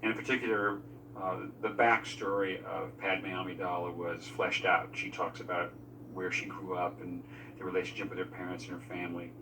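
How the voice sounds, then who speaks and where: A man giving measured, explanatory commentary as a filmmaker in a behind-the-scenes interview. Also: low voice, flat delivery, far from the mic, noisy background. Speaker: film crew member discussing editing decisions.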